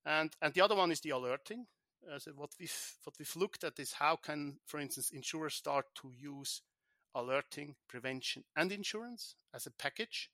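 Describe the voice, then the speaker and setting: A man from Switzerland, an insurance tech founder, answering podcast questions in a halting way with many restarts and repeated words. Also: on the high side, animated, sharp tone, dry recording, clean studio sound.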